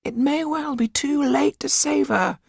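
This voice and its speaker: A man voicing a weary, urgent little older male companion in an audiobook, with a high, croaky, husky squeak and deliberate delivery. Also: no room sound, clean studio sound, exaggerated voice. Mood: weary, grim.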